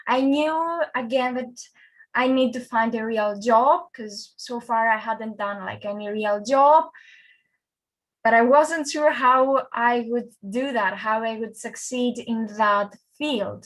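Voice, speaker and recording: A woman's voice: fairly high pitch, slow pace, low-quality recording. A young woman from Greece, a front-end developer, presents a conference talk in a friendly, conversational way with fillers and restarts.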